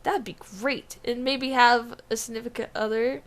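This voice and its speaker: A woman's voice: on the high side, fairly even intonation, normal speed. A young woman co-hosting a gaming podcast, laughing often, swearing casually and trailing off mid-thought. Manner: giggly, swears freely, trails off hunting for words. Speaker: podcast co-host.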